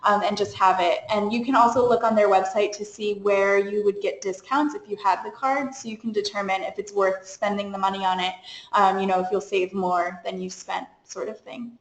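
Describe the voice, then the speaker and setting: A woman's voice: mid-range voice, steady tone, fairly quick, roomy sound, poor audio. A young woman on a study abroad program staff, giving an informal webinar briefing in a chatty way, with lots of fillers and small laughs.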